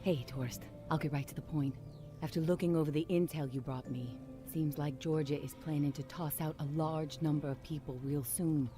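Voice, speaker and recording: An actress playing a businesslike, secretive resistance organizer in a video game, delivering a message in a direct, purposeful way. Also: female voice, low-pitched, fairly even intonation, normal speed, mellow, faint music behind, close to an everyday voice. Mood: urgent, hopeful. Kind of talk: dramatic video game acting, spoken message.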